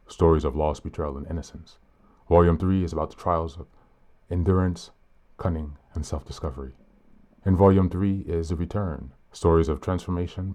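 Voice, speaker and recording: Very deep-voiced, slightly hoarse man. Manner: calm, earnest, deliberate. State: hoarse, a bit sick. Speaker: podcast host. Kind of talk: reflective podcast reading.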